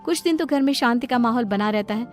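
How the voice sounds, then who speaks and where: A woman's voice: fairly high pitch, fast talker. A woman storyteller reading scripted narration of a moral tale. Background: light background music.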